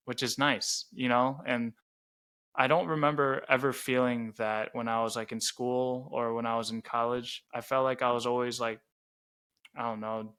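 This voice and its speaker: Bright, clear-voiced young man chatting. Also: medium pitch. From Chicago suburbs, Illinois. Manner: relaxed, rambling, many "like" fillers. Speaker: podcast co-host. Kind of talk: casual chat.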